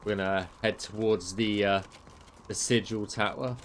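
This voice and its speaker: A man's voice: fairly low pitch, little pitch movement, normal speed, fairly bright tone, slight background noise. A man, a game mod developer chatting casually on a livestream, rambling and answering chat with many fillers, his voice getting tired. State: losing his voice.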